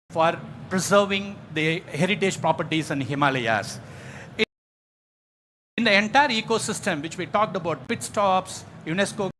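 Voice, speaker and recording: Bright, high-voiced male presenter, noisy recording. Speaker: company executive presenting at a press conference. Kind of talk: prepared product launch speech.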